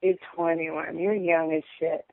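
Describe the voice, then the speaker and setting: A woman's voice: sits low, little pitch movement, measured pace, creaky, no echo. Woman on a tinny phone line. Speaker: recipient of a prank call. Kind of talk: casual phone conversation. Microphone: telephone call.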